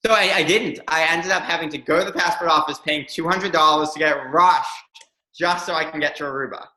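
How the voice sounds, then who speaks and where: Interview guest: young man, earnest and reflective, crisp bright voice, roomy echo. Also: fairly high pitch, a little fast. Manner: earnest, reflective, many ums. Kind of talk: candid personal storytelling.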